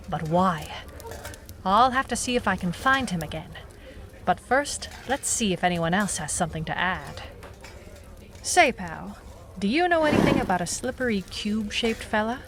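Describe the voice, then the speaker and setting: A hardboiled female detective played by a woman with a mid-pitched voice and a wry, deadpan delivery. She talks in clipped noir phrases, dogged and world-wise, with faint noise behind her in a detective radio play. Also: close to an everyday voice. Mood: brooding. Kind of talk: noir audio drama narration and dialogue.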